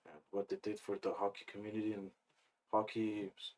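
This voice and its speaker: A young man from Afghanistan, a guest in a casual interview, talking in a relaxed, rambling way with many fillers. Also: fairly low pitch, fairly quick, low-quality recording.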